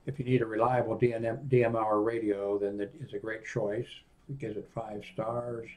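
An older man in his sixties with a fairly low voice reviews a product off the cuff. He talks casually and haltingly, rambling between his own remarks and bits he reads aloud from a screen. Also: little pitch movement, a little mellow. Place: small indoor home office room.

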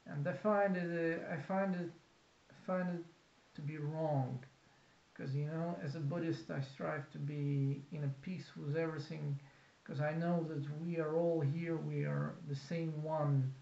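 A man in his thirties with a Russian accent, talking unscripted to a webcam in a small office, halting with many fillers and restarts. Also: on the high side, fairly even intonation, vocal fry, darkish tone. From Kazakhstan. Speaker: vlogger. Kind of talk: unscripted talk to camera. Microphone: webcam at desk. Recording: roomy, dull lo-fi webcam sound.

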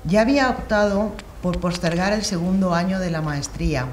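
A middle-aged woman reads aloud in a steady, plain way. Her voice sits somewhat low for a woman. A faint room hum is audible behind her. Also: moderate pace. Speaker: reader in a shared reading group. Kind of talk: reading an essay aloud. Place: large hall, seated on the floor.

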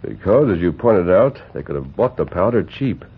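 An actor playing a calm, commanding masked cowboy hero in a vintage radio western, speaking formally and with measured authority. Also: male voice, low-pitched, breathy edge, heavily acted. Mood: calm, reasoning. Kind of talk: 1940s radio western acting. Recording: tinny, noisy vintage radio recording.

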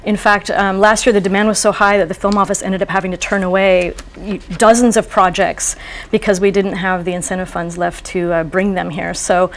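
A woman's voice: mid-range voice, flat delivery, brisk, slight background noise. A woman in her thirties or forties giving conversational answers as a casting director in a studio interview.

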